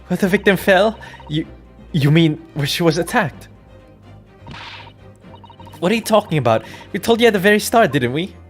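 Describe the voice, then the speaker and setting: A man acts out a flustered married woman from a courtroom game. The voice is pitched high and stammers with indignant protest. Music plays faintly behind. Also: lively intonation, moderate pace, long pauses.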